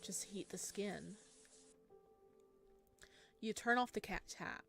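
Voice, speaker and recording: Slow, hushed horror narrator played by a woman. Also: mid-range voice, gravelly. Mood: uneasy, suspenseful. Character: solemn second-person narrator of a creepy horror story. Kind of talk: dramatic visual novel narration. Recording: music behind the voice.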